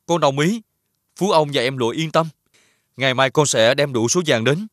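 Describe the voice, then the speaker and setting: A man playing an honest, humble young suitor in an animated fairy tale, speaking in an earnest way. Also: mid-range voice, moderate pace, dry recording, clean studio sound, lightly acted. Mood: respectful, earnest. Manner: earnest, polite phrasing. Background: background music.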